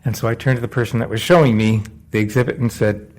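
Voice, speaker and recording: A man's voice: medium pitch, fairly even intonation, fast, a little mellow. A man hosting a podcast interview, telling how he met his guest in a conversational way, indoors at a culture centre. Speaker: podcast host.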